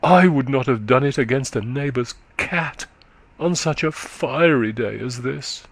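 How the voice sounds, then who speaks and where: A man voicing a weary, faint middle-aged countrywoman who is exhausted and short of breath, speaking slowly and low. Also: no echo. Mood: bitter. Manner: slow, low, sorrowful.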